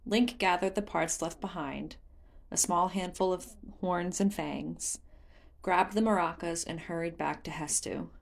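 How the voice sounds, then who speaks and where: A woman's voice: fairly low pitch, fairly even intonation, a little slow, vocal fry. A woman reading scripted story narration as the narrator of a fan fiction audiobook.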